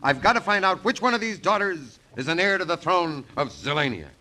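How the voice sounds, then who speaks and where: A man's voice: high voice, lively intonation, brisk, bright, forceful tone. An actor playing a scheming, wisecracking man posing as a father, in hammy 1940s radio comedy style. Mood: comic mischief. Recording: noisy, crackly 1940s radio recording.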